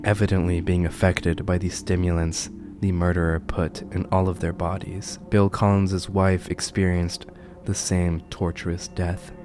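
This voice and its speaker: A man narrating a scripted horror commentary video as its host, with a grave, deliberate storytelling delivery. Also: low-pitched, flat delivery. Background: music under the voice.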